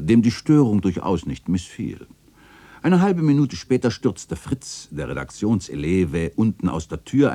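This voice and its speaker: Literary radio drama narrator: melancholy old man recalling memories, very low, mellow, lively intonation. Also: slightly rough, exaggerated voice. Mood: amused. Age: older. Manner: wistful, expressive storytelling.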